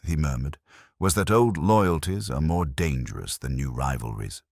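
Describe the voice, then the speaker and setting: Very deep-voiced man narrating reflective detective fiction. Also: dry recording, studio quality. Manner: measured, reflective, melancholic delivery. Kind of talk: scripted first-person literary detective narration.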